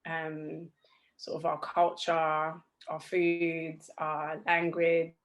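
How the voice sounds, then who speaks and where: A young woman from Zimbabwe answering interview questions over a video call, hesitant with many ums and soft laughs. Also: low-pitched, monotone, drawn-out words. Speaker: guest.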